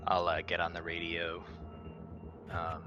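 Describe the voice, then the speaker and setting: Male tabletop player, deep voice, casual and a little hesitant, with prominent music behind him. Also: completely flat delivery, a little slow, dull audio. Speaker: player in a tabletop roleplaying game. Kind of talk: casual tabletop game chat.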